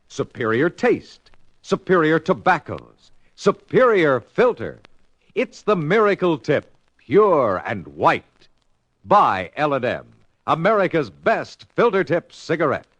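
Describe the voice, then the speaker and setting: A man reading an emphatic scripted sales pitch in 1950s radio commercial style. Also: big pitch swings, slow, a bit bright, dry recording. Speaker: commercial announcer.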